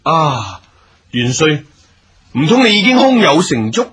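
A man's voice: fairly high pitch, very animated, a little slow, a bit bright, slightly rough, exaggerated voice. A male actor playing a loyal, brave junior general in a period radio drama, earnest and deferential in Hong Kong Cantonese. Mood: deferential, attentive. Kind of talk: theatrical radio drama acting.

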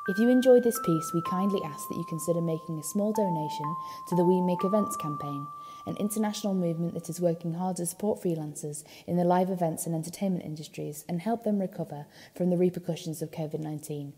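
A woman's voice: on the low side, fast, long reverb tail, music in the background. A woman reading a scripted charity appeal as an announcer.

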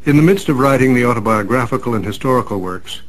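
A man reading a scripted commentary in a formal, literary, measured manner. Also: medium pitch, expressive. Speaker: commentator, series artistic director.

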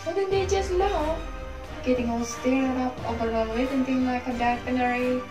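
A woman's voice: high-pitched, normal speed, close to an everyday voice. A young woman playing a timid, dutiful sheriff's wife haunted by loss, in an amateur stage drama. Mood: nervous. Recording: echoey home recording, music laid over.

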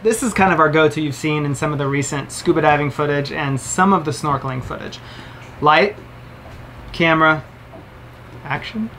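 A man's voice: fairly high pitch, moderate pace, pauses often, bright, forceful tone, slight background noise. A man in his thirties casually reviewing camera gear to the camera, chatty and upbeat, explaining as he handles each item in a boat cabin. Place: cabin of a sailboat, indoors.

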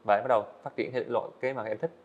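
Fast-talking earnest eighteen-year-old man. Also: medium pitch, steady tone, lo-fi sound. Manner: earnest, storytelling, answers politely with "dạ vâng". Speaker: guest, animator. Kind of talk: podcast interview. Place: indoor studio set.

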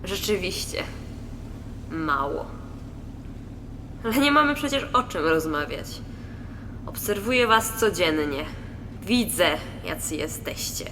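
An aloof female commuter played by an actress delivers a cold, cynical inner monologue in a radio drama. Her voice is on the low side, with clear noise behind it. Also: expressive, slow pace, long pauses, bright, forceful tone, heavily acted, rough recording. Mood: cold, dismissive. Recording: noticeable ambient background noise.

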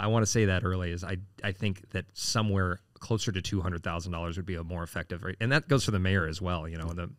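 Low-voiced man, rambling candid answers. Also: fairly bright tone, no room sound. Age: 40s. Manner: rambling, frequent fillers and restarts. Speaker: city council candidate. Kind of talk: casual interview answers.

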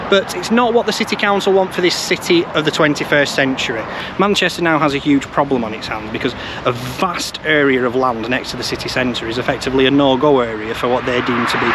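A man in his thirties giving informative, wry commentary to camera as a vlog presenter. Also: a little high. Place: outdoors beside busy urban roads. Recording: outdoor street noise from passing cars.

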